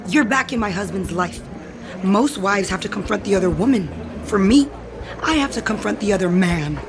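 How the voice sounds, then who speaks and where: A woman's voice: mid-range voice, expressive, moderate pace, crowd noise, lightly acted. An actress playing a bitter, threatening wife in an audio soap opera, cutting and commanding as she confronts her rival. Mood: confrontational.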